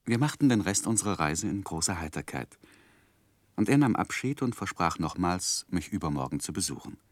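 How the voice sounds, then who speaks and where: An actor playing an earnest, polite young gentleman who tells his life story in a 1960s radio drama, with measured literary delivery. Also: deep voice, animated, no room sound. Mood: reflective, narrating.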